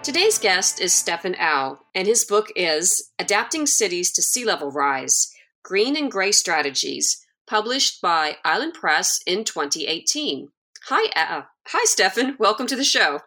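A woman's voice: mid-range voice, big pitch swings, a little slow, bright, forceful tone, soft background music. A woman hosting a book podcast, introducing her guest and asking friendly questions. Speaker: podcast host.

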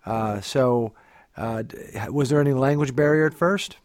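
A male interviewer asking questions in a casual chat, halting and fumbling as he phrases them. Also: medium pitch, dry recording, clean studio sound.

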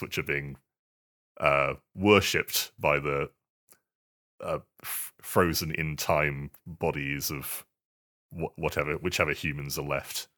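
A man with an extremely low voice and a bright, forward edge chats casually as a podcast co-host. He speaks haltingly, often doubling back on his words as he describes things. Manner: hesitant, halting delivery with repeated words. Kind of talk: casual video game podcast chat.

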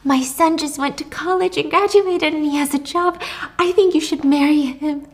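A woman's voice: sits high, normal speed, pitched above the performer's own voice. A woman voicing a clingy, pushy older mother in a drama recap, gushing and insistent.

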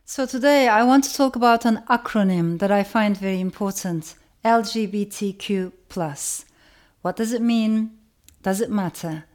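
A woman from Turkey giving a reflective educational talk, speaking in a measured way and repeating phrases for emphasis. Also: medium pitch, a little mellow.

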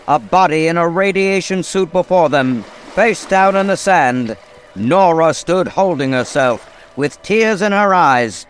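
An old-time radio narrator, high in pitch and grandly dramatic. He tells the story in scripted style over clearly audible background noise.

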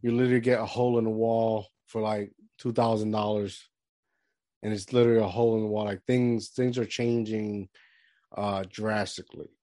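A man's voice: fairly low pitch, level tone, normal speed, dark tone, no echo, studio quality. A man in his thirties from Puerto Rico, chatting casually and rambling with lots of restarts and fillers. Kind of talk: casual chat.

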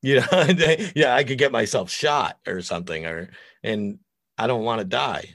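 A middle-aged American man with a deep voice, chatting casually and bluntly on a podcast as an opinionated, foul-mouthed guest. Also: moderate pace, a bit bright, no echo, clean studio sound. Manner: opinionated, blunt, crude joking. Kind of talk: casual, profane podcast chat.

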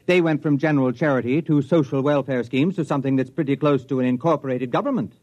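An actor playing an anxious, excitable sociology professor in a 1950s radio drama. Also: male voice, medium pitch, fast, close to an everyday voice. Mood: alarmed, incredulous. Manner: excitable, incredulous questioning. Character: anxious male sociology professor, exasperated and increasingly alarmed narrator. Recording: dull vintage radio recording, music behind.

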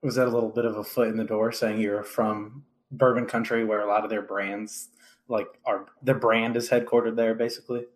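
A man's voice: on the low side, flat delivery, brisk. A man hosting a podcast in an informal, chatty, conversational way. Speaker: podcast host.

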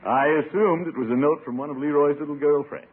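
An actor playing a genial, pompous middle-aged uncle in an old radio sitcom. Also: male voice, on the high side, fast, breathy edge. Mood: knowing. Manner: pompous, chuckling, avuncular. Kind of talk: 1950s radio sitcom acting. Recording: muffled old radio recording, faint audience, hiss.

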